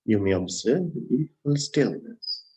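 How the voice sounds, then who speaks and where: A man leading a guided meditation as a teacher, speaking gently and unhurriedly in short phrases with long pauses. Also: medium pitch, expressive. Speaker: Buddhist monk, meditation teacher. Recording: dull, muffled lo-fi sound.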